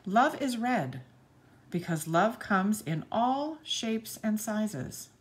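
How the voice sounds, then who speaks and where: Expressive woman reading to children, very lively pitch. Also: takes it slowly, slight background noise. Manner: expressive, addresses children directly. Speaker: children's story reader. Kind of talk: read-aloud storytelling for young children.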